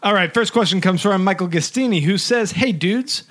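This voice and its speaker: Podcast host guy, fairly high voice, chatty and promo-y. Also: a little fast, fairly bright tone. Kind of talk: casual podcast chat.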